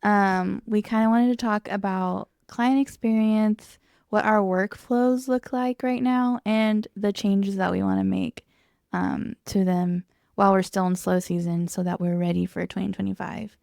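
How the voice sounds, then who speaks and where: Smooth young woman with vocal fry. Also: medium pitch, fairly even intonation, unhurried, darkish tone, dry recording, studio quality. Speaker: podcast co-host. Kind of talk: casual explanatory chat.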